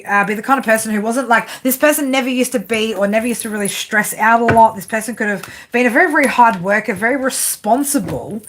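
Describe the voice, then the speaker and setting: A woman's voice: fairly high pitch, a bit bright. A woman with an Australian accent doing a live tarot reading, rambling, swearing casually and using lots of fillers. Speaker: tarot reader.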